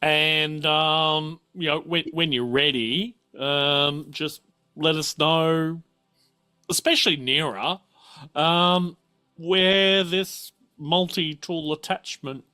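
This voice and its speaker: A man running a tabletop game as game master, casual and easygoing, talking in halting bursts. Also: a little high, bright, forceful tone, clear, resonant tone. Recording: faint music behind. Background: faint music.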